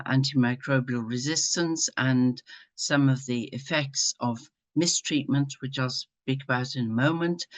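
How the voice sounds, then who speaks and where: A woman speaking as a patient advocate on an online panel, in a measured, deliberate way. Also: extremely low pitch. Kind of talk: webinar panel answer.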